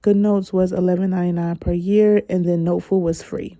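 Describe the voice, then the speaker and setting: A woman's voice: lowish voice, flat delivery, brisk, mellow, a little hiss or hum. A woman giving a casual aside, matter-of-fact as she lists prices.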